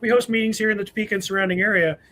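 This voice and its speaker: Guy hosting a casual live show, pretty high voice, gushes a lot. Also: fairly even intonation, rapid-fire, pushed and bright. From United States. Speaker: host of a preparedness show.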